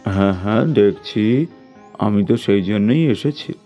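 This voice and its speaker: A man's voice: on the low side, animated, unhurried, dull audio, faint music behind. A man voicing a businesslike male doctor who minds his fee in a cartoon story, with matter-of-fact delivery. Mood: reassuring. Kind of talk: scripted cartoon story acting.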